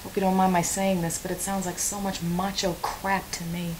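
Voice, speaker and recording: A woman's voice: lowish voice, little pitch movement, moderate pace, close to an everyday voice. An actress playing a caring but frank and skeptical woman neighbour in a naturalistic audio drama. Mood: concerned, skeptical. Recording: hissy, noisy background.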